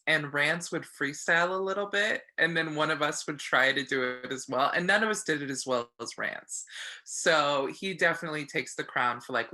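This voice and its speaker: A woman's voice: deep voice, pushed and bright. A woman who works as an actor, speaking casually and reflectively in an interview.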